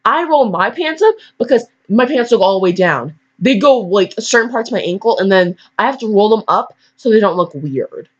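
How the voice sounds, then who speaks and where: A woman's voice: mid-range voice, animated, a little fast, few pauses. Goofy young woman, dull lo-fi mic. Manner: goofy, self-deprecating, easily sidetracked. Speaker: fan fiction reader. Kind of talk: casual reading aloud with rambling commentary. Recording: dull lo-fi microphone.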